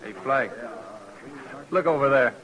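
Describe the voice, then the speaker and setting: An actor playing an amused, sneering army officer's sidekick in old time radio western style. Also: male voice, medium pitch, unhurried, lightly acted. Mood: jeering, amused. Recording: noisy old radio drama recording.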